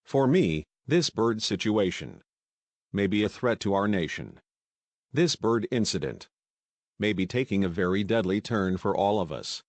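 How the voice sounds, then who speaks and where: A man playing a shaken eyewitness survivor, giving scripted testimony in a deliberate, recited manner for a news interview. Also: lowish voice, animated, dry recording. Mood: grave.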